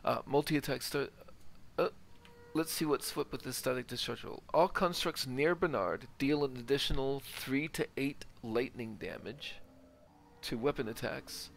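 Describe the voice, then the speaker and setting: A man's voice: mid-range voice, measured pace, gravelly. Guy doing casual gameplay commentary, talks through stats methodically, some game noise behind him. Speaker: gaming video host. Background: game ambience.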